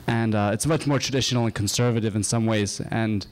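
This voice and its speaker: A young man from Japan telling a casual personal story to an audience, halting often on uh and um. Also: on the low side, steady tone. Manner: halting, full of uh and um, chatty and wandering.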